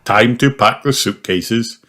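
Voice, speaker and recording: A storyteller reading a children's picture book aloud in an expressive, exaggerated way. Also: mid-range voice, slow pace. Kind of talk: animated scripted narration of a picture book. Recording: clearly noisy recording with clicks.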